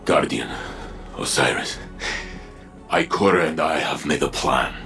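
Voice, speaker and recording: An actor playing a grave, noble robot warrior in a video game, speaking solemnly and deliberately. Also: male voice, rumbling low, gravelly, sharp tone, soft background music, exaggerated voice. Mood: solemn, resolute. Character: grave, noble male robot warrior, long battle-worn.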